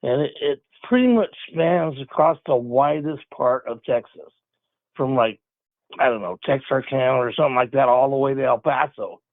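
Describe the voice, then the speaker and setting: A man's voice: mid-range voice, normal speed, no room sound. Easygoing male caller on a tinny phone line. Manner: rambling, easygoing reminiscing. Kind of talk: phone-in chat.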